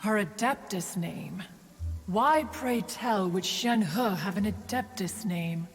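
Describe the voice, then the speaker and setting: A woman playing an ancient, haughty crane immortal in a video game, speaking formally in measured, archaic phrases. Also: medium pitch, animated, big-room sound, loud music behind the voice. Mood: haughty, composed. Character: ancient, haughty female crane adeptus, aloof and wise. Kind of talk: scripted video game character acting.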